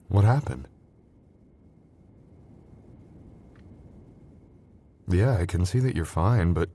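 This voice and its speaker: Man playing a very deep, roguish sorcerer boyfriend. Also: darkish tone, full character voice. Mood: concerned, puzzled. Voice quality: very deep, gravelly.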